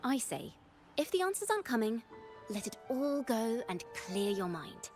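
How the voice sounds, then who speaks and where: A cheerful young wandering bard from a video game. Her voice is high and very expressive, swooping through whimsical, lyrical phrases with theatrical flair. Music plays clearly behind her. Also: moderate pace. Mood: bright, playful. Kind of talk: scripted video game voice acting. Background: background music.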